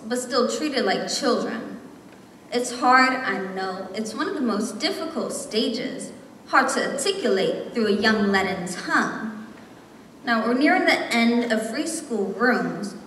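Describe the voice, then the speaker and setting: A woman's voice: on the high side, sharp tone. Young woman performing slam poetry, echoey hall. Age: young adult. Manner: impassioned, rhythmic, emphatic delivery. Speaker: slam poet. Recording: echoey hall, distant mic, faint noise.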